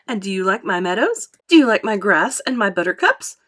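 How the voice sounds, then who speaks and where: Lady doing an excitable eccentric showman guy from a kids book, gushing and braggy, voice swings up and down. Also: medium pitch, fairly quick. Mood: delighted, coaxing. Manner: theatrical, emphatic, gushing with repetition. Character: exuberant, boastful, eccentric male chocolate factory owner.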